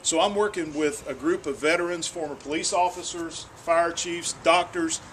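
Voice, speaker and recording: A man's voice: fairly high pitch, moderate pace, a bit bright, slight background noise. Earnest man addressing a town hall. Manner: earnest, repeats words when restarting. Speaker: state senator.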